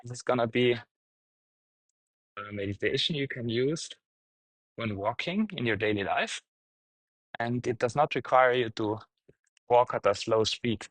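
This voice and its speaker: A man guiding a meditation, speaking gently in short, halting phrases with pauses. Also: mid-range voice, no echo, rough recording. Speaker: meditation teacher, guide. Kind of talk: guided meditation, unscripted instruction.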